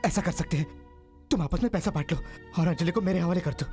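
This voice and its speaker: An actor playing a guilt-ridden man who pleads haltingly and repeats himself, in emotional drama style. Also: on the high side, darkish tone, soft background music, lightly acted. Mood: desperate pleading.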